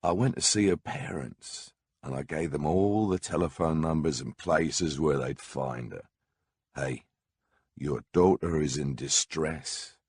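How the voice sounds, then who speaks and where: A man's voice: very deep voice, measured pace, darkish tone, slightly rough, dry recording. A man narrating a first-person memoir audiobook in a wry, conversational storytelling manner.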